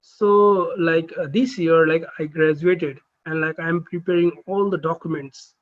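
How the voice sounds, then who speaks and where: A man who is a neurosurgery trainee, chatting on a video call and asking halting, filler-heavy questions. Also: on the high side, steady tone, narrow, tinny audio. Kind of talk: casual video call chat.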